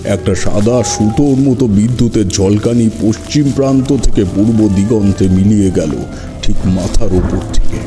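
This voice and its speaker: A man's voice: on the low side, moderate pace, over music. A man narrating a scripted first-person horror story in a dramatic, literary storytelling manner.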